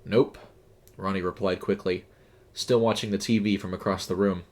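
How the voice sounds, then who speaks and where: A man's voice: low-pitched, fairly even intonation, brisk. A man reading scripted fiction as the narrator of an audio story.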